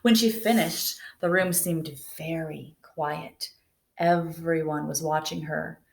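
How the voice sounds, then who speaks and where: A woman reading a children's picture book aloud in a gentle, unhurried way. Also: sits low, steady tone, creaky. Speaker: storybook reader. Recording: echoey room.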